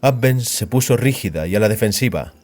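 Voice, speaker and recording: A man's voice: on the low side, lively intonation, fairly quick, darkish tone. A man narrating scripted audio fiction as a storyteller.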